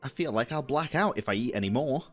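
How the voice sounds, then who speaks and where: Young guy reacting on stream, slangy and sweary, animated, faint game music behind. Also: medium pitch, fast talker. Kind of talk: casual live reaction commentary.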